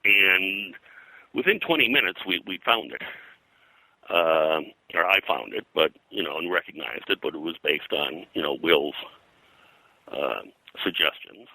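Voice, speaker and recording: An older man speaking as an interview guest, reminiscing in a halting, digressive way and often clearing his throat. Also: low voice, animated, sharp tone, no echo, poor audio. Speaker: guest, pulp and comics historian. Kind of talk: interview conversation, reminiscing about his work.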